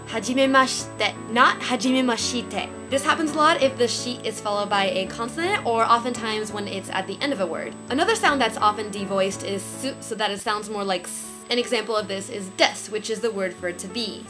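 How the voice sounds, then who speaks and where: A young woman giving a beginner language lesson from her bedroom, careful and clear as she demonstrates sounds on a webcam. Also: fairly high pitch. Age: young adult. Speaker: language teacher. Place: small bedroom, indoors. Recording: music under the voice.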